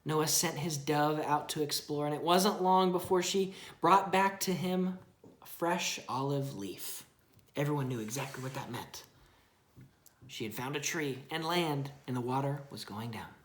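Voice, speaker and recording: A woman's voice: sits low, a little slow, echoey room. A woman reading a children's Bible story aloud expressively, chatting with a young child and asking simple questions.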